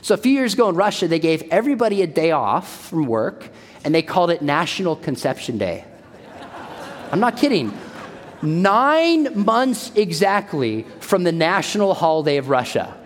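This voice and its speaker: Man in his forties, fairly high voice, lively pitch, emphatic repetition, long hall echo. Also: moderate pace. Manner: emphatic, repeats key points. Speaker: speaker at a church. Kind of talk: sermon-style talk.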